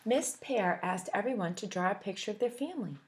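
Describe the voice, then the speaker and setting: Expressive woman reading a picture book aloud. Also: medium pitch, brisk. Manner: expressive and animated, asking the listeners questions. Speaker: teacher.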